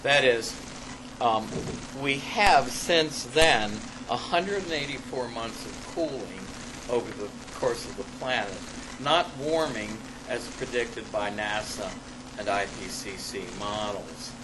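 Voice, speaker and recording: A man reading an academic paper aloud in a classroom as a lecturer, halting and deliberate, stressing key words and adding spoken asides. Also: medium pitch. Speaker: lecturer presenting own paper. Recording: clearly noisy live classroom recording.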